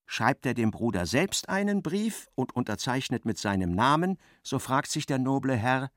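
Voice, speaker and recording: An actor narrating a literary radio play, an expressive and ironic storyteller. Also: male voice, medium pitch, moderate pace, no echo, studio quality. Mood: wry narration. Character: wry literary narrator of a novel, male.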